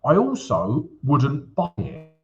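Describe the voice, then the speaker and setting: A man hosting a live trading show, talking casually and often turning to his audience. His pitch swings widely. The audio is a little muffled, like a typical livestream. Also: wildly swooping pitch, takes it slowly, vocal fry, soft background music. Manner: chatty, peppers lines with "yeah?" and "guys". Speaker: forex livestream host. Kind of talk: live trading chart walkthrough. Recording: slightly dull livestream audio.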